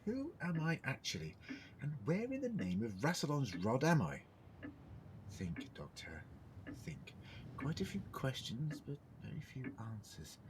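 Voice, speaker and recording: Eccentric, indignant alien time traveller , male actor, audio drama. Theatrical, self-questioning, very lively pitch, faint rumbling noise. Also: unhurried. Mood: puzzled, muttering. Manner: theatrical, self-questioning, swinging from muttering to declaiming.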